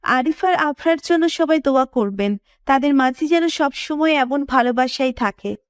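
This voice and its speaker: Female narrator for scripted romance audio story, high voice, dry recording. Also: normal speed, no echo.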